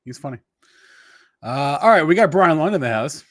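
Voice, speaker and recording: A man hosting a market talk show, plugging links and bringing on guests in a quick, offhand way. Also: on the high side, lively intonation, fairly bright tone, no room sound. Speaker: host.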